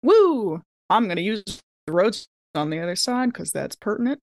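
A woman's voice: mid-range voice, sing-song, moderate pace, slight background noise. A woman chatting casually and playfully as a player in a tabletop role-playing game.

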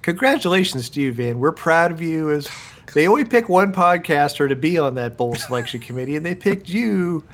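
A man's voice: on the high side, animated, a little fast, a bit bright. Dry-humored podcast co-host, a man. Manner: dry, teasing. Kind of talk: casual sports podcast chat.

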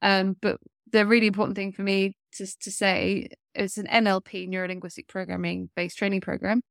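A woman's voice: mid-range voice, flat delivery, fairly quick, vocal fry, no echo, clean studio sound. A woman in her forties, a coach speaking as an interview guest, talking earnestly with a blocked-up nose and many fillers. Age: 40s. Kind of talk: casual interview chat.

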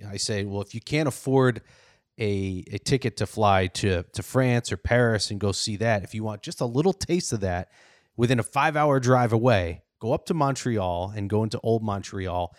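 A podcast host from upstate New York who keeps the conversation going with friendly, affirming remarks. His voice sits on the lower side for a man. The recording is close and dry. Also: normal speed, no room sound. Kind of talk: casual interview chat.

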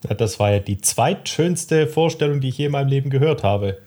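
A man's voice: mid-range voice, animated, brisk, warm tone. A man appearing as a podcast guest, talking in a dry, thoughtful way with some hesitation. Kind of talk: casual podcast talk.

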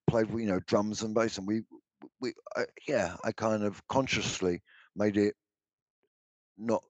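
An older man with a deep baritone voice, answering interview questions about his songs, hesitant with many restarts. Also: darkish tone, no room sound, low-quality recording. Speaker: musician guest.

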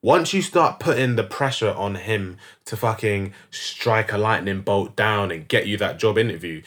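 A man's voice: lowish voice, moderate pace, fairly bright tone. A young man in a casual podcast chat, explaining his views at length and swearing casually. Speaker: podcast co-host.

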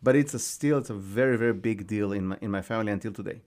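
A man from Israel, a guest in a studio, telling his family history in a measured way with frequent pauses and restarts. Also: on the low side, darkish tone. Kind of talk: conversational interview answers.

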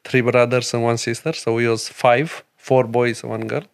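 A middle-aged man from Romania with a Romanian accent, a chef and restaurant owner speaking earnest, halting English in a podcast interview. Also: medium pitch, flat delivery, creaky, clear, resonant tone. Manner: broken English, earnest and emphatic, many pauses.

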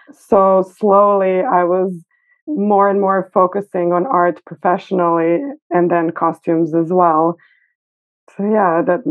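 A middle-aged woman from Serbia with a Serbian accent, speaking conversationally as an interview guest. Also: fairly low pitch. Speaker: podcast guest, costume designer and educator. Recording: narrow, phone-like internet call sound.

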